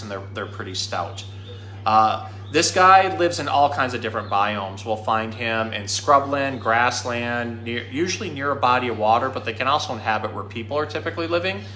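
A man's voice: medium pitch, sharp tone. A man in his thirties presenting as a zookeeper in a casual, informal and explanatory way, recorded in a small room. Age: 30s. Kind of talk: casual educational talk and storybook reading. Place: small indoor room at a pet store. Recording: echoey room.

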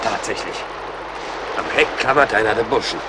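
Man playing an earnest, lowish-voiced young officer. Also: moderate pace, heavy background noise, natural voice. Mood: tense, resolute. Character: young male army major, anxious but gallant officer. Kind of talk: German radio play acting.

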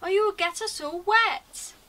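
A woman's voice: very high-pitched, a little slow, bright, forceful tone, voice pushed up, full character voice. A woman voicing concerned farm animal friends in a storybook, calling out urgently. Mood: warning.

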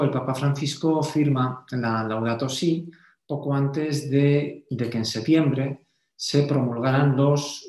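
A man presenting a slide lecture in a didactic, measured way with pauses. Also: mid-range voice, darkish tone. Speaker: Jesuit speaker giving a conference talk. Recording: roomy, echoey voice pickup.

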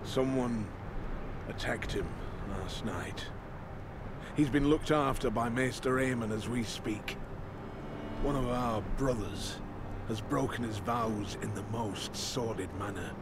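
A stern older commander speaks in grave, oratorical style in a fantasy game. His delivery is slow and deliberate, with clear ambient noise behind him. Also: medium pitch. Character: stern old lord commander addressing his sworn brothers. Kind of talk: solemn scripted speech in a fantasy game.

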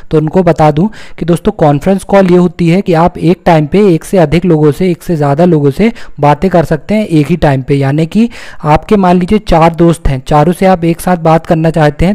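Higher-pitched Indian man, mellow tutorial voice. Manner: explanatory, repeats points in simple words. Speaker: tutorial host. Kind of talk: step-by-step phone tutorial narration.

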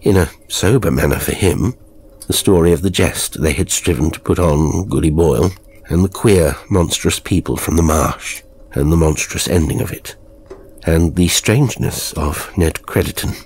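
A man narrating a horror story in a measured, grave, literary storytelling style. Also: deep voice, a little mellow, slightly rough. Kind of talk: scripted dramatic storytelling narration.

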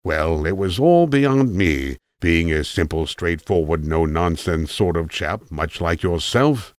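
A man's voice: sits low, animated, unhurried, dry recording, clean studio sound. A man playing a rambling, self-assured old tramp in a storybook, talking in a formal, pompous, digressive way. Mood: self-satisfied, chatty. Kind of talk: storybook character monologue.

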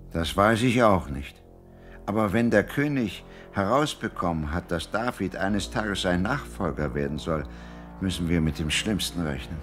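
A man's voice: sits low, lively intonation, moderate pace, fairly bright tone, faint music behind. An actor playing an anxious, grave old father in a biblical German radio play. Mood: anguished. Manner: worried, grave.

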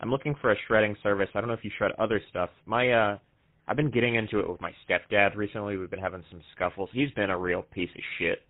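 Low deadpan young man, muffled call. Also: fast talker, no echo. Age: young adult. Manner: deadpan, hesitant. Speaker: prank caller and show host. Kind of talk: deadpan prank phone call. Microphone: headset microphone. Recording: dull, band-limited call audio.

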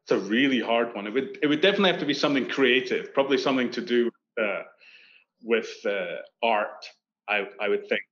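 A man's voice: mid-range voice, fairly quick. Scottish man on a muffled call. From Scotland. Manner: animated, gestures with hands, many restarts. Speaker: architect guest. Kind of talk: casual interview chat. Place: indoor room on video call. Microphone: video call webcam. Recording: dull lo-fi call audio.

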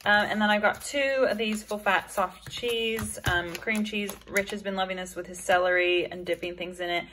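A woman's voice: medium pitch, fairly even intonation, moderate pace, fairly bright tone, slight background noise. A woman in her thirties chatting casually through a grocery haul while handling items in her kitchen. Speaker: vlogger. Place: home kitchen.

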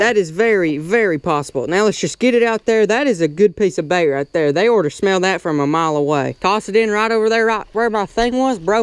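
A young man giving excitable, folksy commentary while fishing outdoors at a pond, talking into an action camera. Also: sits high, no room sound, studio quality. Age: young adult. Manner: excitable, folksy, calls viewers boys and son. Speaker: fishing vlogger. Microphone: action camera on body or handheld.

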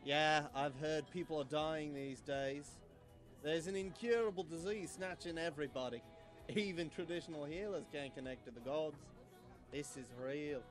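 A student actor playing a gossipy, credulous tavern drinker in a live audio drama. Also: male voice, on the high side, normal speed, close to an everyday voice. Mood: earnest, awed. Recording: music behind, live radio studio.

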